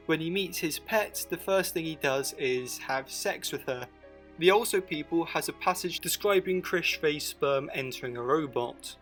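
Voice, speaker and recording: A man's voice: on the high side, a bit bright. A man reading scripted narration as the narrator of a documentary.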